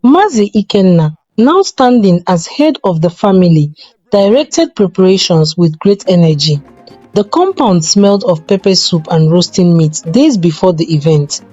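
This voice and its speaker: A woman narrating a scripted folktale in a measured, dramatic storytelling manner. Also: fairly low pitch, lively intonation, mellow, no echo, highs cut off, faint music behind.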